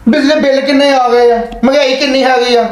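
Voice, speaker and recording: A man's voice: very high voice, level tone, brisk, echoey room, low-quality recording. A man playing a cheeky, teasing member of the household. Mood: sassy.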